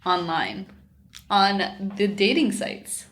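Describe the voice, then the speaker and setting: A bubbly, giggly woman in her twenties chats through a couple's Q&A at a desk microphone. Her voice sits at a middling pitch, and she talks in a loose, chatty way in a small room indoors. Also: takes it slowly, fairly bright tone. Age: 20s. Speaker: co-host. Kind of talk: casual couple Q&A chat.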